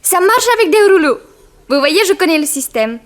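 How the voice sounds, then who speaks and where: A woman's voice: high-pitched, sing-song, fairly quick. An actress playing a chatty, naive and inquisitive young woman in a French radio drama. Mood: playful, curious. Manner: inquisitive, artless. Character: chatty, naive young working woman, curious then frightened.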